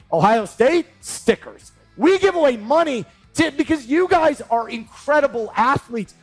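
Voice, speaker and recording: A high-voiced man hosts an interview with rambling, emphatic energy. His pitch leaps around constantly as he riffs. Faint music plays underneath. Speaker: show host. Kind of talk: animated interview hosting.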